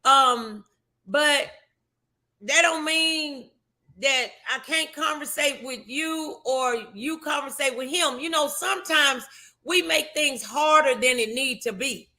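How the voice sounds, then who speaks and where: A woman's voice: high-pitched, takes it slowly, bright, forceful tone. A middle-aged American woman hosting a casual motivational talk show, speaking in an emphatic, encouraging way.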